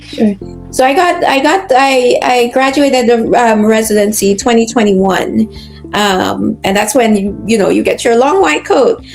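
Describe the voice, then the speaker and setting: A woman in her thirties, a physician, talking through her career in a relaxed interview, pausing with fillers and restarts. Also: on the high side, gravelly, faint music behind, rough recording. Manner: reflective, many fillers and restarts. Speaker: physician guest. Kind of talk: conversational interview.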